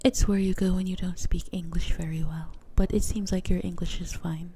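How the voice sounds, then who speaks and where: A woman's voice: fairly low pitch, steady tone, fairly quick, mellow, slight background noise, lightly acted. A woman doing a nosy, oversharing teacher. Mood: chatty. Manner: chatty, then drones through a lesson. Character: brisk female schoolteacher, nosy and oversharing.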